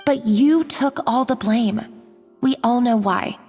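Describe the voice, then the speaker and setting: A woman's voice: a little high, takes it slowly, darkish tone, in a large hall, soft background music. An actress playing a loyal, earnest female restaurant worker in a video game, speaking insistently. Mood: earnest, aggrieved.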